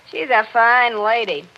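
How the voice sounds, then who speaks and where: A woman's voice: on the high side, slight background noise. A woman playing a saucy, scornful housemaid who mocks her betters, pert, in a 1940s radio drama. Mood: scornful, mocking. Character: saucy, disdainful female housemaid, mockingly mimicking her betters. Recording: dull 1940s radio recording.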